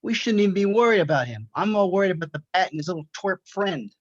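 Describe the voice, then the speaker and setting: A man's voice: sits high, expressive, fast talker, dry recording. A man playing a wary, scornful criminal henchman in an amateur audio drama, on a dull recording with music behind.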